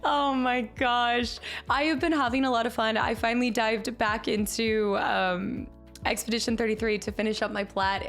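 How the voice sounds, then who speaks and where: A woman streamer chatting casually with her viewers and thanking them, upbeat, her voice a little raspy today. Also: a little high, a bit bright. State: slightly raspy voice. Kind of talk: casual stream chat reading chat. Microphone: streaming mic.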